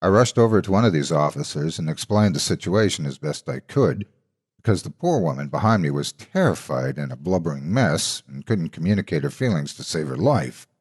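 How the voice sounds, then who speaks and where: A man with a deep, low voice delivers scripted horror narration. He reads dramatically, as a storyteller presenting a tale to listeners. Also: sing-song, fairly quick, slightly rough.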